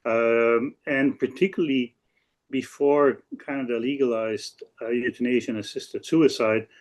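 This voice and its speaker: A man in his sixties with a Belgian Dutch accent and a mid-range voice talks as a law professor on a webinar panel. He speaks haltingly, often pausing and repeating words, from an office on a video call. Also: medium pitch, fairly even intonation, darkish tone. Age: 60s. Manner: halting, with frequent hesitations and repeats. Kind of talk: introducing own work at a webinar.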